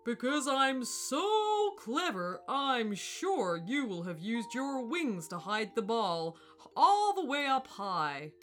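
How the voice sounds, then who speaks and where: Woman acting giant male elephant, children's story, boastful, condescending, booming, bright forceful tone, drawn-out pace. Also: very animated, slight background noise, exaggerated voice. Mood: gloating.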